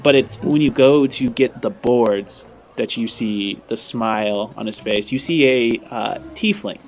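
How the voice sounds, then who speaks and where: A man's voice: medium pitch, a little slow. A man improvising descriptive narration as the game master of a tabletop roleplaying game.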